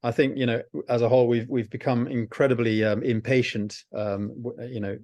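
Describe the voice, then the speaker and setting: A middle-aged man chatting on a video call, speaking at an ordinary pitch. He hesitates a lot, with frequent fillers and restarts. The sound is dry, with no room echo. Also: level tone, brisk, darkish tone. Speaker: host. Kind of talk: casual video call chat. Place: home, at night.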